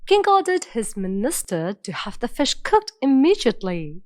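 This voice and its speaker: A woman narrating an animated fairy tale in an expressive, storybook way. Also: fairly high pitch, moderate pace, no echo, clean studio sound.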